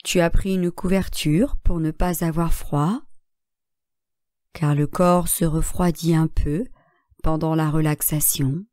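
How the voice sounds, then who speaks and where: Female meditation guide, soft-edged mellow tone, dry close sound, measured and calm delivery of short relaxation cues. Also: sits low, no echo. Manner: calm, measured, instructing in short phrases with long pauses. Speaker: yoga nidra instructor.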